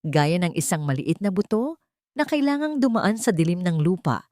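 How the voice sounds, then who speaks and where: A woman's voice: fairly low pitch, big pitch swings, normal speed, a little mellow, no echo, clean studio sound. A woman storyteller narrating a scripted romance drama earnestly and politely, addressing her listeners.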